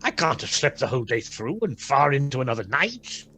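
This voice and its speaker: A man's voice: medium pitch, sing-song, fairly quick, pushed and bright, faint music behind. An actor playing a grouchy, miserly old man in a theatrical, emphatic radio-play style. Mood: bewildered.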